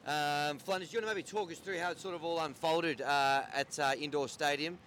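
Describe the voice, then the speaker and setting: Teasing podcast host. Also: male voice, a little high, fairly even intonation, fairly quick, a bit bright, a little hiss or hum. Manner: teasing, jokey, throws to co-hosts. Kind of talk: casual podcast chat.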